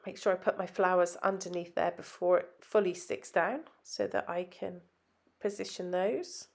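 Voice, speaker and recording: A woman's voice: on the low side, fairly even intonation, normal speed, gravelly, a little mellow. A woman designer narrating a scrapbooking tutorial in a chatty, step-by-step way, sounding nasal from a head cold. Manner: chatty, explains step by step with frequent ums.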